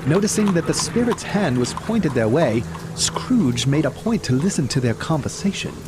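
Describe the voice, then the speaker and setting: A man narrating a scripted dramatic tale as storyteller, solemn and measured. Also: fairly high pitch, sing-song, background noise. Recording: music behind the voice.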